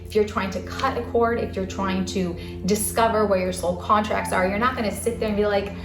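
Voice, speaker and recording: A soft-spoken woman giving a casual spiritual talk to camera in a calm, earnest way. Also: medium pitch, a little fast. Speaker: spiritual channel host. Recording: roomy echo, faint music.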